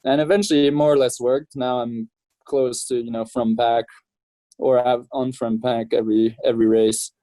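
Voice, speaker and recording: A man in his twenties from France, chatting casually as an interview guest, relaxed and laughing often. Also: medium pitch, moderate pace, no room sound. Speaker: professional triathlete, guest.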